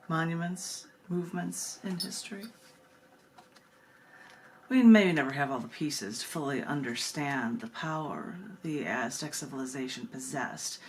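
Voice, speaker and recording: A woman poet hosting a reading, reciting poetry and chatting about it with emphatic phrasing. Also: sits low. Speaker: poet and host of a book reading. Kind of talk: poetry recitation with casual commentary between poems. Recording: clear background noise.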